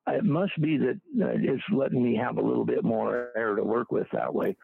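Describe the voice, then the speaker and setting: An older man recalling his experiences in a casual, halting way, with a gravelly voice and many fillers. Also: mid-range voice, no echo. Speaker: interviewee. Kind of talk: casual recollection. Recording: tinny phone-like line.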